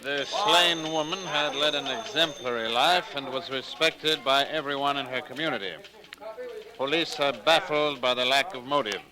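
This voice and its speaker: An actor playing a brisk, businesslike newspaper editor with clipped delivery, in 1940s radio drama style. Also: male voice, mid-range voice, lightly acted, low-quality recording. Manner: clipped, reading copy aloud. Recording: 1949 radio recording, busy background.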